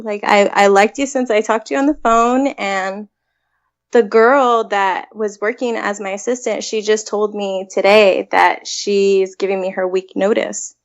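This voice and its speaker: A woman's voice: mid-range voice, lo-fi sound. A young woman co-hosting a podcast in an informal, conversational way, chatting casually. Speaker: podcast co-host. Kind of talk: casual chat.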